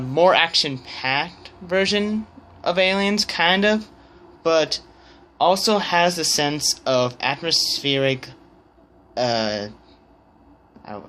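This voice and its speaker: A man with a bright, forward voice talks slowly through his opinions in a casual vlog. His phrasing is hesitant and halting, with word starts repeated as he thinks aloud. There is some noticeable background noise around him. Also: mid-range voice. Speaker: vlogger.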